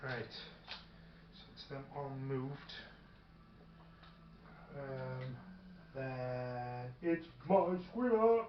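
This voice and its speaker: A man's voice: mid-range voice, drawn-out words, long pauses. A man playing a tabletop wargame, chatting casually and hesitantly as he thinks aloud and reads out rules. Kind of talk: casual game chat, partly reading rules aloud. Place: indoor room during a filmed tabletop game. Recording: roomy, dull, faint background noise. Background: faint noise, radio playing.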